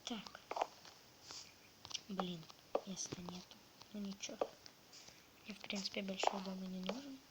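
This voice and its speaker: A woman with a sore throat, slightly ill, quietly muttering a casual commentary on the game she is playing. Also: mid-range voice, unhurried, pauses often. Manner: quiet, half-whispered muttering to herself. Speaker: gamer narrating her play. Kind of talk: casual let's-play commentary. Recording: loud background noise.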